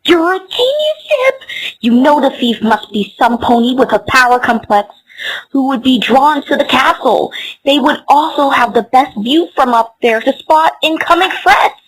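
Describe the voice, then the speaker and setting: A woman's voice: high-pitched, expressive, moderate pace, breathy edge, rough recording. A woman voicing a hopeful young female earth pony in a fan comic dub, earnest and starry-eyed. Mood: excited.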